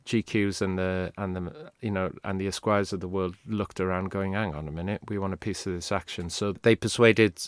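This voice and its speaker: Low-voiced man, rambling. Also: steady tone, normal speed, few pauses, dry recording, studio quality. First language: Welsh. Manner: rambling, restarts, candid. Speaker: guest. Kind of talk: casual interview talk.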